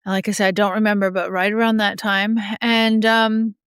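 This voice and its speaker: A woman's voice: medium pitch, little pitch movement, normal speed, clear, resonant tone, no echo, studio quality. A middle-aged woman raised in Australia, a judge telling her recovery story candidly in an interview, a little sniffly from a cold, with many restarts. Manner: frank, often laughs softly, many fillers and restarts. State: getting over a cold, a bit sniffly. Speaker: judge, podcast guest.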